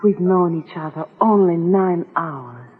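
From an echoing, narrow vintage radio recording comes a naive young woman in love. Her voice is breathy and earnest, with defiant melodrama in the 1940s manner. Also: fairly low pitch, expressive, a little slow, exaggerated voice. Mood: lovestruck, anxious. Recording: muffled, narrow-band old radio recording, reverberant.

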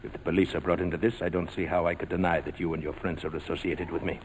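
Actor as a suave, low-voiced crime boss. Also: male voice, little pitch movement, lightly acted. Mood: amused threat. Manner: suave, mock-polite, unhurried threats. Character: smooth, menacing male crime boss, coolly amused. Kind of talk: 1940s radio drama acting. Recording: noisy vintage 1940s radio recording.

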